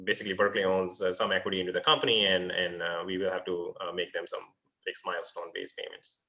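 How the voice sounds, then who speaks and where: A man's voice: low-pitched, flat delivery, quick pace, bright, forceful tone. A man, a startup founder, answering investor questions over a video call, hesitant with many fillers and restarts.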